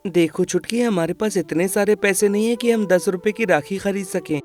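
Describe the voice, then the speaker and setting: A woman plays a caring young elder brother in a children's moral cartoon. He has a mid-pitched voice and explains things gently, like a teacher. Music plays behind the speech. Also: moderate pace, lightly acted. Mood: apologetic.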